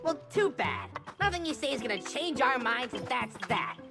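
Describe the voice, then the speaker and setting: A woman voicing a small, cowardly male forest creature in a video game, with an excitable, stammering, grumbling manner. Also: high-pitched, moderate pace, vocal fry, music in the background, full character voice. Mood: stubborn, whiny. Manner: excitable, stammering, dramatic whining.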